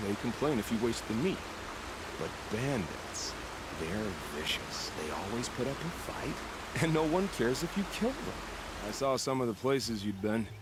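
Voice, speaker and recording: An actor playing an unsettlingly calm, death-loving former soldier in a video game, musing and savoring his menace in measured phrases. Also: mid-range voice, vocal fry, very noisy. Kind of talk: video game dramatic acting.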